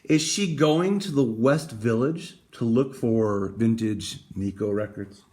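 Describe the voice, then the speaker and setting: A man reading a picture book aloud, with dramatic emphasis and deliberate pauses. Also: medium pitch, darkish tone. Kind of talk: expressive storybook read-aloud.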